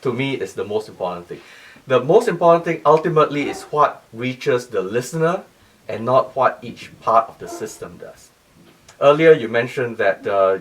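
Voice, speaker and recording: Male interviewee, technical explainer. Lively intonation, repeats points for emphasis, voice sits back from the microphone. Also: medium pitch, distant mic. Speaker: loudspeaker company CEO, interview guest. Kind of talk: interview explanation of audio design.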